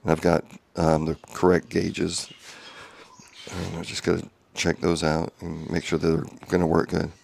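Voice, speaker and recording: A man giving a casual update video from his home garage, talking quietly in a monotone with many ums and restarts, on a small clip-on mic. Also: low-pitched, a little slow, gravelly, husky. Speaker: home car restorer. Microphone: small clip-on wireless mic.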